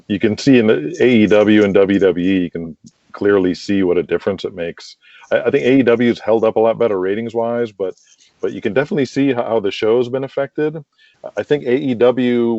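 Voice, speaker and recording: Low-voiced, mellow man chatting casually. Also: no echo. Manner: chatty, laughs often. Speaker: podcast guest, wrestling promoter. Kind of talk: casual conversational interview. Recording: muffled lo-fi call audio.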